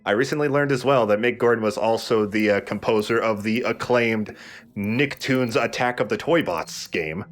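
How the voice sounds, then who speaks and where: Low-voiced man doing casual game commentary. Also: moderate pace, faint music behind. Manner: conversational, joking, casually profane. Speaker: let's play host and player.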